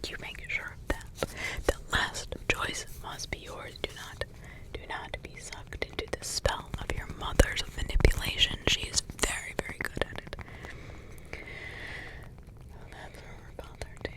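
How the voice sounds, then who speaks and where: A woman voicing a doting grandmotherly witch in an ASMR roleplay, with a soft, tender whisper and rambling asides. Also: measured pace, close to an everyday voice, poor audio. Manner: tender, sidetracked asides, affectionate endearments.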